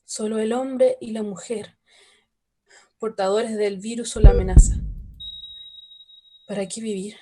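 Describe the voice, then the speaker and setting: An actress playing a radio announcer who reports an attack from an emergency station, then narrates calmly. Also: female voice, medium pitch, close to an everyday voice. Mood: solemn. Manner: measured, solemn reporting. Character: female emergency news announcer, shaken but composed. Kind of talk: radio drama acting.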